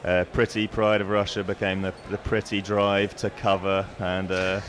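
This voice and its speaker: Low baritone man, modest guest. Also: level tone, moderate pace, a bit bright, slight background noise. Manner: modest, hesitant, many fillers. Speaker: guest, singer-songwriter. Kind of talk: casual radio interview.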